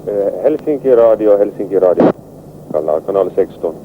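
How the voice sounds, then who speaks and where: Low-voiced man over static-heavy marine radio. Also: expressive. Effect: VHF marine radio. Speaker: ship's radio operator or officer. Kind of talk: maritime radio exchange. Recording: noisy, narrow-band 1990s marine radio. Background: loud radio static and rumble.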